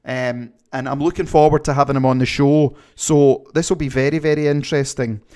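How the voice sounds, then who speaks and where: A man's voice: medium pitch, fairly even intonation, brisk, a little mellow. A man with a Glaswegian accent hosting a livestream, chatting casually and reading viewer comments, rambling with many fillers. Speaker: livestream host.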